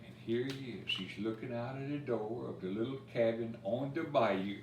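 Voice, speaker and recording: A man reading a children's picture book of Cajun dialect verse aloud, telling it expressively with asides about the pictures. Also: medium pitch. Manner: expressive storytelling, pausing to point out pictures. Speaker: story time reader. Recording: roomy, distant mic with faint noise.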